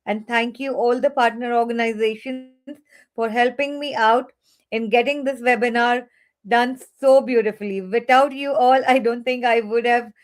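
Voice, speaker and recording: A woman's voice: a little high, steady tone, normal speed. A woman hosting an online webinar, giving earnest closing thanks to the guests. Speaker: host. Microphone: webinar video call.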